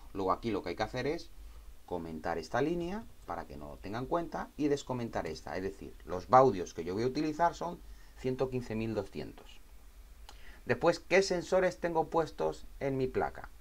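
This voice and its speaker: A man with an accent from Spain and a medium-pitched voice walks through technical steps as a tutorial instructor. His delivery is informal and spontaneous, with repeated phrases and frequent little tags to check that the listener follows. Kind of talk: informal step-by-step technical explanation.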